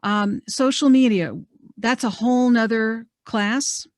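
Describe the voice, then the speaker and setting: A woman's voice: on the high side, steady tone, slow, no echo. Chatty woman coaching, dry sound. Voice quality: loud voice. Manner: conversational, lots of fillers and restarts. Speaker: career coach leading a webinar. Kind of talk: presenting a networking webinar. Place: home office on a video call.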